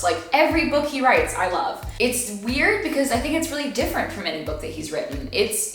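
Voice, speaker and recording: A young woman chatting casually to viewers about what she recommends, with an animated, gushing, emphatic manner. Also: a little high. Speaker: book review vlogger. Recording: echoey room, distant mic, faint music.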